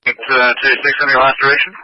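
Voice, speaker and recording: A man's voice: mid-range voice, flat delivery, fast talker, a little hiss or hum. An Australian man working as a police radio dispatcher, repeating and confirming reports in a procedural way over the radio. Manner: procedural, repeats information back. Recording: dull lo-fi police radio transmission.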